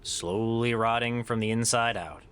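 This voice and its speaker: A man's voice: medium pitch, unhurried, a bit bright, natural voice. Man as a wry, weary adventurer, faint noise. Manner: sardonic, casual. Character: wry, weary male adventurer, loyal old friend. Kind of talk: naturalistic audio drama acting.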